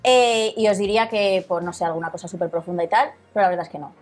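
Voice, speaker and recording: A woman's voice: medium pitch, fast talker. Young madrid woman chatting away casually on a lapel mic, faint music behind. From Madrid, Spain. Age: young adult. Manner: chatty, colloquial. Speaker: interview guest, Instagram content creator. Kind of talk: casual chat. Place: small studio set, indoors.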